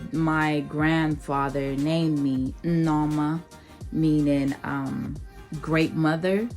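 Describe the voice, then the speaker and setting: Slow-spoken woman in her thirties. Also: low-pitched, a little mellow. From Nigeria. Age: 30s. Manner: slow and deliberate, many ums and pauses. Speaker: vlogger. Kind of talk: casual personal introduction to camera.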